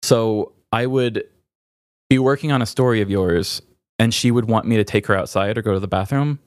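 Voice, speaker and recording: A man hosting a podcast, talking candidly and reflectively in a halting way, searching for words. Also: fairly low pitch, no room sound, studio quality. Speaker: podcast host. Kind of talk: candid, reflective personal conversation.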